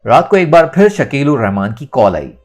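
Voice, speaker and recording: Guy reading a dramatic novel, really expressive up-and-down voice, soft music behind. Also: medium pitch, normal speed. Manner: expressive storytelling. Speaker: audiobook narrator. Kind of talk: dramatic scripted novel narration.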